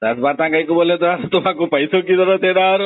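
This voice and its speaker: A man with a Hyderabadi accent speaks in a fairly high voice. He is blunt and insistent in a casual, conversational way. The sound is a narrow, dry telephone recording with very little room in it. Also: quick pace, no echo. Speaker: phone call recipient. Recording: tinny narrowband phone call recording.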